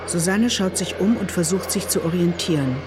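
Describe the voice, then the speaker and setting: A woman's voice: fairly low pitch, normal speed, over music. A woman narrating an audio play, reading scene directions in clipped, descriptive phrases.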